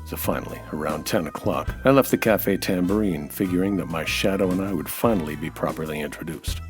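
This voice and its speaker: An actor playing a suspicious, hard-boiled American nightclub owner who narrates in a wry, terse radio noir style. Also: male voice, sits low, a little fast, faint music behind, lightly acted. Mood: brooding, wry. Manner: wry, terse, tough-talking. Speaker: actor playing lead detective-style hero. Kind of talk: old-time radio noir monologue and dialogue.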